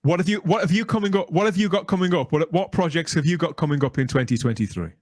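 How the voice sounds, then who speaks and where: A man's voice: on the high side, fast, no room sound, clean studio sound. A middle-aged man hosting a podcast interview in a conversational, reflective and wordy way. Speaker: podcast host.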